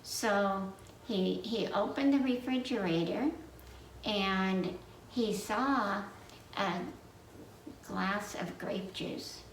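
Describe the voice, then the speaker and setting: A woman telling a children's story from memory in a halting, meandering way, pausing to put questions to her listeners. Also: mid-range voice. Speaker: library storyteller. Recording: roomy, distant mic with faint noise.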